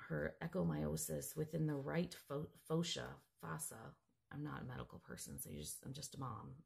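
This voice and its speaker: A mother, hoarse and raspy from a cold, earnestly reading out a report and stumbling over medical terms. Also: sits low, a little mellow. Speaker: grieving mother seeking justice. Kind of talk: direct-to-camera update, reading from a report.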